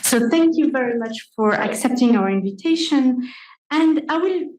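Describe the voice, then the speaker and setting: A woman's voice: high voice, lively intonation, normal speed, darkish tone. A woman moderating a conference panel, polite and formal, with small hesitations and repeated words. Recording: echoey conference room.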